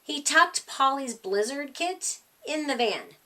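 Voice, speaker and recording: A woman reading a children's picture book aloud as a storyteller, with animated, emphatic delivery. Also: high voice, slow, recorded from a distance. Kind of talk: expressive read-aloud storytime narration.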